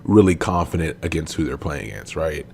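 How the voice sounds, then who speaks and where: A man with a deep voice talks through a light cold, his tone a little raspy and sniffly. He co-hosts a laid-back podcast chat, full of ums and you-knows. A faint hum sits under the recording. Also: animated, fairly quick, darkish tone. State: sick, slightly raspy, sniffly. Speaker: podcast co-host. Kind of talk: casual esports podcast chat.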